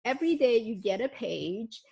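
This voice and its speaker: A woman's voice: fairly high pitch, lively intonation, moderate pace, creaky, warm tone, husky, highs cut off. Chatty woman, second-language English speaker. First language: Mandarin Chinese. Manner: chatty, with frequent restarts and ums; shows notebooks to the camera while talking. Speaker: YouTube host. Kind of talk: casual vlog talk.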